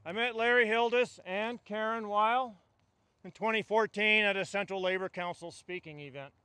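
An older man delivering a eulogy outdoors into a stand mic. His voice sits high and bright, with a dry, close sound. He tells stories with wry humor. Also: normal speed, smooth, no room sound. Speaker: union delegate giving a eulogy. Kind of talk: funeral eulogy. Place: outdoors at a funeral gathering among trees.

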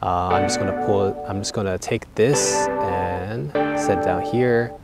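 A man's voice: deep voice, unhurried. A young man casually explaining his songwriting outdoors, thinking aloud with fillers. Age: young adult. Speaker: vlogger and songwriter. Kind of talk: casual explanatory vlog talk. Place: outdoors by a lake in winter. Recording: background music under voice.

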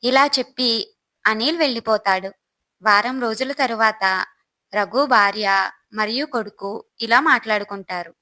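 Bright, higher-pitched woman storyteller. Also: a little slow, pauses often. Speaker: narrator. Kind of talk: scripted moral story narration.

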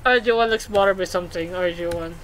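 A woman's voice: on the low side, quick pace, a bit bright, slight background noise, rough recording. A woman hosting a let's play, reading game dialogue aloud and reacting casually. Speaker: gaming video host.

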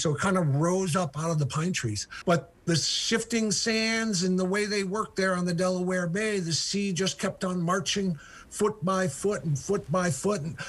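Older male guest author, husky, eager, filler-heavy storyteller on a video call. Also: high voice, a bit bright. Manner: enthusiastic, many ums and uhs. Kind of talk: remote interview storytelling.

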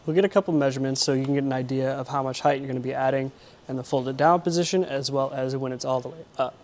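A man's voice: medium pitch, steady tone, quick pace, darkish tone, a little hiss or hum. A young man in his twenties casually explaining a kayak carrier install step by step while working around a vehicle in an indoor garage. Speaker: product installer and presenter. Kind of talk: product installation demo.

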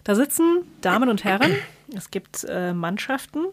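A woman with a medium-pitched voice talks as a podcast co-host. She explains things casually, as if chatting across the table with her partner. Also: expressive, normal speed.

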